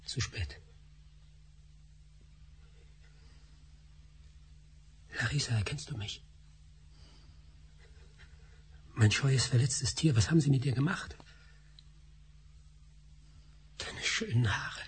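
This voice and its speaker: A man's voice: medium pitch, brisk, a little hiss or hum, close to an everyday voice. An actor playing a driven male scientist on the run, speaking urgently in a radio drama. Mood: tender, grieving. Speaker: Doctor Spassky.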